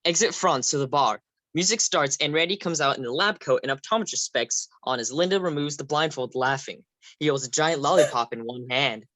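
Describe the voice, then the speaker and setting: A woman's voice: sits low, a little fast, fairly bright tone, no echo. A woman reading stage directions aloud in a plain, matter-of-fact way.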